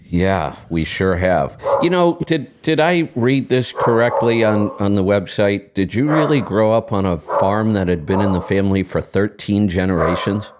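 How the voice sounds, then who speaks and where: An American man with a low voice hosts a relaxed interview. He is inquisitive and friendly, laughs readily, and draws his guest out with questions. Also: normal speed, few pauses, noisy background. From United States. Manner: curious, easygoing, laughs often. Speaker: podcast host. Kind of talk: casual interview conversation.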